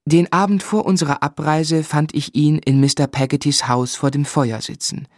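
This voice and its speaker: A man playing an earnest, affectionate young man who recalls his life in measured literary phrasing for a radio drama. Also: on the high side, steady tone, no room sound, studio quality, close to an everyday voice. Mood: reflective. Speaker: narrator and lead actor.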